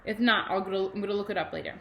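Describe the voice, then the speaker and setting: A woman, a kindergarten teacher reading to children and adding chatty, animated explanations and questions in simple words. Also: medium pitch, very fast, muffled recording, slight background noise. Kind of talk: children's read-aloud with chatty asides.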